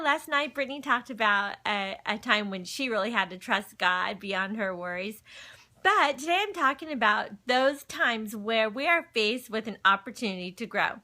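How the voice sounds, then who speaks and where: A woman's voice: a little high, bright, forceful tone. A middle-aged woman hosting a livestream from home, telling personal stories and talking about faith in a chatty, folksy way, often addressing viewers. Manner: chatty, folksy, breaks off to greet and answer viewers. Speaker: livestream host. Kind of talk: casual chat, personal storytelling and faith talk. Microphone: phone.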